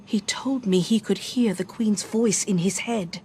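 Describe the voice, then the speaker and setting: An actress playing a grieving, resolute royal guardian in a video game, speaking in a grave, measured, restrained way. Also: female voice, mid-range voice, mellow, a little hiss or hum, natural voice. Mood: regretful. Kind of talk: dramatic video game narration.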